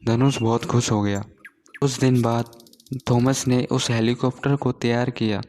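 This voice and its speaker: Slow, even-toned male storyteller. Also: medium pitch, slight background noise. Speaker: narrator. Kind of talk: scripted children's story narration.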